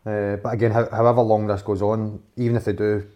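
A footballer talking frankly and thoughtfully in a casual chat, swearing casually. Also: male voice, fairly low pitch, flat delivery, fast talker, dark tone, dull audio. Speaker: footballer, guest or co-host.

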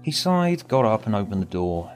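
Low-voiced man narrating a story over music. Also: big pitch swings, moderate pace, darkish tone. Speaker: author and narrator.

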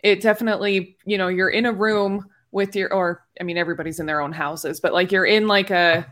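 A woman's voice: mid-range voice, fairly bright tone. A woman chatting casually about games as a podcast panelist, in an informal, loosely phrased way. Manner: informal, peppered with "like".

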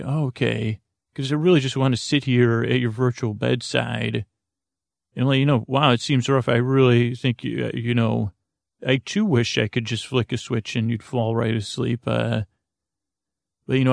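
Creaky-voiced man, rambling sleep podcast host. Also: medium pitch, no echo, clean studio sound. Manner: meandering, halting, stammering, soothing. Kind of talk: rambling bedtime monologue meant to lull listeners to sleep.

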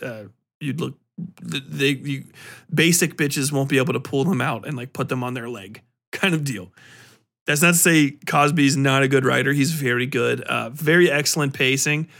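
A man talking casually as a podcast host reviewing books, slangy and full of swearing, animated and given to acting out mock exchanges. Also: mid-range voice, moderate pace, creaky. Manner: profane, slangy, informal. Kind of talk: casual rambling book review talk.

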